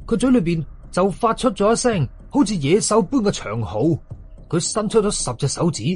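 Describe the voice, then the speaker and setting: A man with a Hong Kong Cantonese accent narrating a scary story in the first person, dramatically, with colloquial asides and exclamations. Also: sits high. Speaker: horror storyteller.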